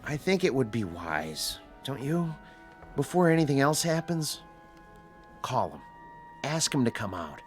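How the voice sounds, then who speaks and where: An actor playing a calm, authoritative male doctor in a radio drama, measured and directing. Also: medium pitch, soft background music. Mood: calm, commanding. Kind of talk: old-time radio drama acting.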